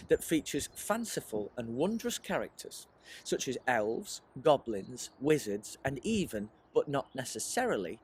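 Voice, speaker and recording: A man presents a lesson for children with a lively, swooping delivery. His voice has a husky edge. He is encouraging and often poses questions to the listener as he explains. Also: medium pitch. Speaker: writer leading a children's creative writing workshop. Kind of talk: presentational teaching aimed at children, with rhetorical questions.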